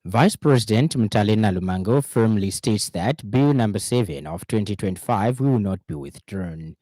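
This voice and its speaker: A male radio news presenter with a mellow, lowish voice reads a scripted bulletin. His delivery is formal and measured, with the odd stumble, and the sound is close and dry. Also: expressive, dry recording.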